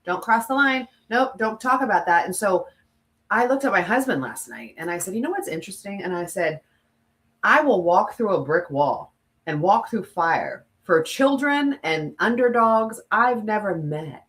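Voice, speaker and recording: A woman's voice: mid-range voice, sing-song, moderate pace, fairly bright tone. A woman co-hosting a podcast, telling stories emphatically in candid, conversational talk and often quoting herself and others. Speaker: podcast co-host, former TV news presenter.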